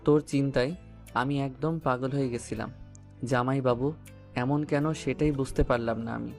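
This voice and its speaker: A man's voice: mid-range voice, fairly even intonation, moderate pace, darkish tone, faint music behind, lightly acted. Man playing a devoted romantic young husband. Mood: complaining.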